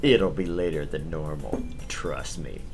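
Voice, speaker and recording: A man's voice: extremely low pitch, measured pace, music in the background. A man streaming a visual novel read-aloud, switching between playful narration and casual chat.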